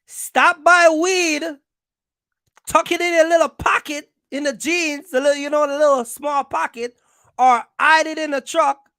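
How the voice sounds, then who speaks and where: A woman's voice: high voice, steady tone, unhurried, a bit bright, no room sound. A woman hosting an informal explainer for viewers, speaking bluntly and emphatically in colloquial, slangy language and repeating phrases for stress. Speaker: trucking channel host giving advice.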